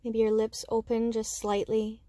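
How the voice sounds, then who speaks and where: A woman guiding a meditation with slow, soothing instruction, speaking gently and unhurriedly in short phrases with pauses. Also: a little high, monotone, no room sound. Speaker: meditation guide.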